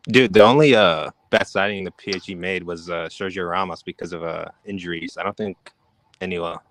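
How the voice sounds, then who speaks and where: A man's voice: low-pitched, brisk, a bit bright. A man in an online fan chat, blunt and dismissive, dropping "dude" and "man". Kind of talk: casual chat. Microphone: online voice call.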